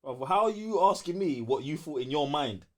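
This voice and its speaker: A man with a London accent hosting casual podcast banter, slangy, teasing and quick to challenge the others. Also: a little high, lively intonation. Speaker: podcast host steering the talk.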